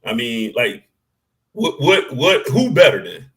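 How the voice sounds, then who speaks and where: A man with a deep, bassy voice, co-hosting a casual sports talk show and arguing his points with animated, salty energy. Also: slow. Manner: animated, argumentative, swears casually.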